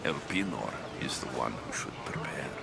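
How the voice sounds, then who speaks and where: An actor playing a brooding, hot-tempered young mercenary warrior in a video game. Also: male voice, extremely low pitch, very animated, moderate pace, vocal fry, noisy background, heavily acted. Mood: bitter. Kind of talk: video game cutscene acting. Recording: background music under the voice.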